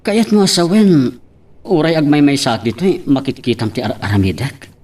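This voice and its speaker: A man's voice: a little high, moderate pace, a little mellow, a little hiss or hum, lightly acted. A man with an Ilocano accent acting a hesitant, lovesick young employee in an amateur audio drama. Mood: hesitant, flustered. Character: hesitant lovesick young male employee, unsure with his boss.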